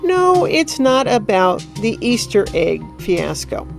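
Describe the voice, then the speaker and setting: A woman's voice: a little high, sing-song, slow pace, creaky. A woman presenting a radio show, reading scripted announcements and credits over faint music. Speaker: presenter and director.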